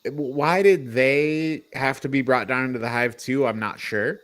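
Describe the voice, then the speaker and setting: A man's voice: mid-range voice, unhurried, fairly bright tone. A man co-hosting a podcast, discussing a film casually in an informal, opinionated, questioning manner. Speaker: podcast co-host.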